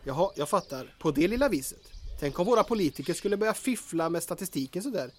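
Wry fairly high-voiced accomplice, acted by a man. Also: animated. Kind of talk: audio drama acting.

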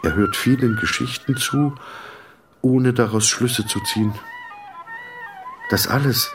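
A man narrating a radio drama in a sober, measured, detached manner, in short sentences. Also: medium pitch, distant mic, over music, lightly acted. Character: sober, detached male storyteller of a hospital tale.

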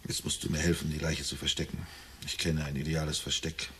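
An actor playing a gloomy middle-aged husband in a German radio drama, speaking in a measured storytelling way with dry irony. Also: male voice, rumbling low, level tone, fairly bright tone, a little hiss or hum. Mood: grim, hushed recounting. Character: gloomy middle-aged husband recounting a nightmare, wryly forbearing.

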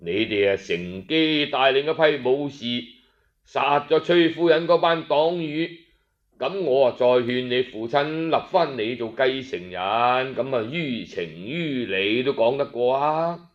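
Give this storybook figure, acted out by a man, the voice of a scheming Cantonese chief minister. He speaks slowly, sighing with feigned innocence and soothing, false sympathy. The recording is slightly dull. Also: mid-range voice, animated, voice pushed down, natural voice. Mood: conspiring.

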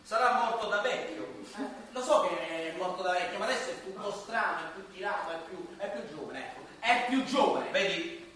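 A high-voiced, befuddled and stubborn man in a comic radio play. He halts and repeats himself insistently. The sound is distant and heavily echoing, with faint noise. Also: bright, forceful tone. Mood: puzzled, halting. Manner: halting, repeats words insistently. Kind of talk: comic radio stage drama. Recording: very echoey, distant, faintly noisy hall.

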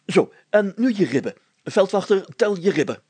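A man's voice: high voice, very animated, normal speed, a little mellow, husky, no echo, voice pushed up, full character voice. A man voicing a bossy, hearty village mayor in a storybook, commanding and pompous, declaiming his lines.

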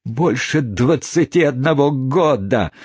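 A man reading aloud and voicing a furious, bullying gentleman who shouts and blusters. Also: fairly low pitch, slow, slightly rough, no echo, studio quality. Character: furious bullying middle-aged English gentleman.

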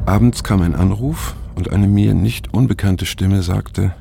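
A very low, gravelly older man, weary and reflective. He speaks without hurry in an introspective radio drama, with creak in his voice and a faint noise underneath. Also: a little slow, exaggerated voice. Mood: brooding. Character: weary, unsettled older man looking back on his youth. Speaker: narrator and main character. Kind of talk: introspective audio drama narration and phone dialogue.

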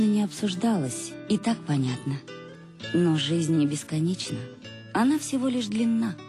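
An actress as a low-voiced, wistful wife reminiscing. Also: female voice, warm tone, lightly acted. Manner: reflective, confessional, measured. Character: wistful married woman recalling her fading love. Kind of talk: intimate literary monologue in a radio play. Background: music, guitar.

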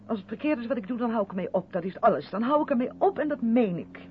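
A narrow, hissy vintage radio recording carries an actress as an earnest, defensive woman of the theatre. Her mid-pitched voice moves animatedly as she insists and justifies herself in radio drama style. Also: animated, quick pace, lightly acted. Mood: defensive, insistent. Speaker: radio play actor. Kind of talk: Dutch 1970s radio drama acting.

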